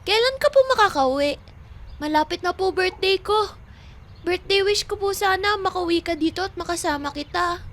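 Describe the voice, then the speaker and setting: A woman's voice: extremely high pitch, normal speed, a bit bright. A woman playing a sweet, wistful little Filipino girl in an audio drama. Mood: hopeful, wistful.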